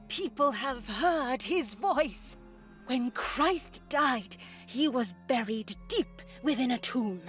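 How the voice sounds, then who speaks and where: Slow-spoken, zealous older nun. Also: female voice, fairly high pitch, a bit bright. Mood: fervent. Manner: slow, theatrical, formal preaching diction. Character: older devout nun, grandly pious, unsettlingly zealous. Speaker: voice actor in a visual novel. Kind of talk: dramatic video game acting.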